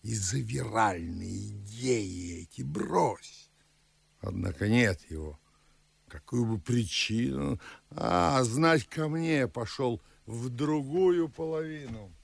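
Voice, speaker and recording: A gravelly fussy old gentleman. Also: mid-range voice, lively intonation, takes it slowly, no room sound, heavily acted. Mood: lecturing. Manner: fawning, fussy, chuckling host. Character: fussy elderly Moscow gentleman, father of the house. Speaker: actor. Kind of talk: classic radio play acting.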